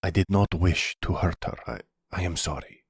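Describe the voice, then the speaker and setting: A man playing a timid, wounded young mobster son with a Russian accent, speaking haltingly and formally in an ASMR roleplay drama. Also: sits low, steady tone, husky, no echo, studio quality. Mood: apologetic. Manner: halting, formal phrasing without contractions.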